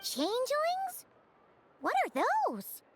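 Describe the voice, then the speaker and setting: Tiny squeaky childlike creature. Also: female voice, wildly swooping pitch, takes it slowly, exaggerated voice. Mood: curious. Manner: wide-eyed, inquisitive. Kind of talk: cartoonish game voice acting. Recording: prominent music behind the voice.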